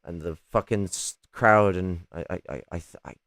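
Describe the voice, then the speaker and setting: A raspy man, chatting casually on a livestream with lots of restarts and casual swearing. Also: low voice, moderate pace, a bit bright, dry recording, clean studio sound. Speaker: streamer.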